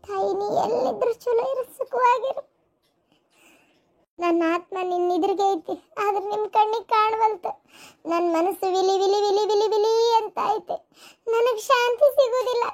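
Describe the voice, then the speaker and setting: A woman's voice: very high-pitched, normal speed, a little mellow. A woman voicing the grieving, restless ghost of a young mother in a melodramatic cartoon story, lamenting with a North Karnataka accent. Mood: anguished, tearful. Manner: plaintive, lamenting.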